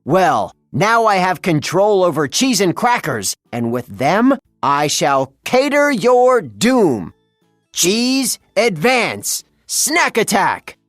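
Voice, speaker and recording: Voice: medium pitch, very animated, slow, no room sound, studio quality, soft background music. Someone doing a theatrical bumbling cartoon mad scientist man. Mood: grandiose menace. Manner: theatrical, grandiose, rambling.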